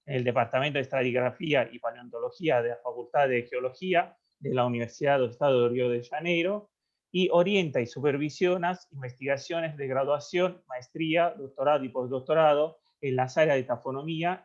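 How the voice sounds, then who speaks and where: A man moderating an academic event, introducing a guest speaker over a video call in a halting way full of fillers. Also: mid-range voice. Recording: dull, muffled call audio.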